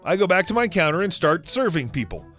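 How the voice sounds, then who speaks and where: A man giving a scripted narration of online stories as the channel's narrator, reading in an even, steady way. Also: sits high, quick pace, soft background music. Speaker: story narrator.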